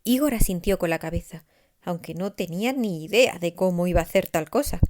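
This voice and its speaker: A woman narrating a scripted children's story expressively, with deliberate emphasis. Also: lowish voice, lively intonation, a little mellow, a little hiss or hum. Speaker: author narrating her own story.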